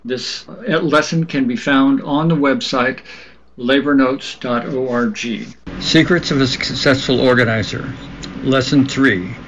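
Male radio presenter, creaky mid-range voice, measured scripted read with small stumbles, slightly muffled sound. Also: medium pitch, fairly even intonation, fairly bright tone, slight background noise. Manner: measured read-aloud delivery with occasional stumbles. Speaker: radio host reading a book aloud. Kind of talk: scripted reading for a labor radio show.

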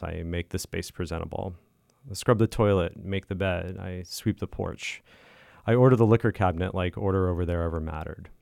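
A man with a deep voice reads a personal piece of literary writing. His delivery is measured and deliberate, with plenty of fry in the tone. The sound is dry, with no room around it. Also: a little mellow, no echo. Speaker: listener contributor reading own writing. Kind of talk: reading a personal literary essay aloud.

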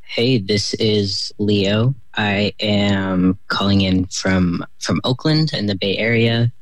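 A young adult from New Jersey, a community organizer and guest who talks in a warm, hesitant way with many pauses and fillers. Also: low-pitched, no echo. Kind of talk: personal introduction on a call-in.

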